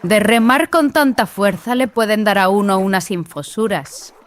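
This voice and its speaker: A woman playing a haughty, impatient young sorceress adept in an audiobook, speaking in a mocking, deliberate, falsely sweet way. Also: medium pitch, natural voice. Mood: sarcastic. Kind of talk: acted audiobook dialogue.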